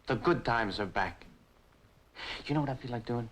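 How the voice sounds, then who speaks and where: An actor playing a shifty, smooth-talking crook in an old crime drama, coaxing, hesitant and pleading under pressure. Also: male voice, medium pitch, moderate pace, fairly bright tone, natural voice. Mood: coaxing, upbeat.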